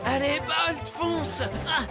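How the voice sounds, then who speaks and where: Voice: sits high, steady tone, slow pace. An actor voicing a boastful, stingy young male cheetah racer in a French cartoon dub, exclamatory and full of bragging. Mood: excited, boastful. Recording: voice under loud music.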